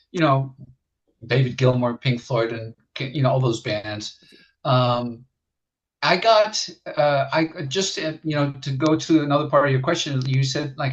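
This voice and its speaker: A man's voice: medium pitch, highs cut off. A man in his sixties from the Netherlands, telling his life story as a musician guest in a casual interview, with many restarts and fillers.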